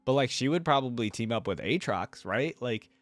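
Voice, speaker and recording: A man's voice: medium pitch, expressive, normal speed, no echo. A man giving casual reaction commentary as a video host, rambling conversationally and often checking in with the listener.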